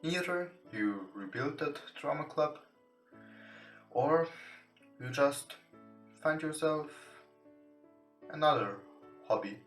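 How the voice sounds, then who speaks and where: A man who is not a native English speaker reads visual novel text aloud as a let's play host, haltingly and with stumbles over words. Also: a little high, lively intonation. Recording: roomy echo, distant from mic. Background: soft guitar game music.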